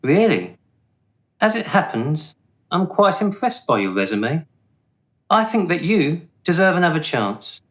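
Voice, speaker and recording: A man playing a smooth, condescending afterlife official in a radio play, officious and deliberate in manner. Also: fairly high pitch, very animated. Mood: smugly amused. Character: smooth, condescending male afterlife bureaucrat judging the dead.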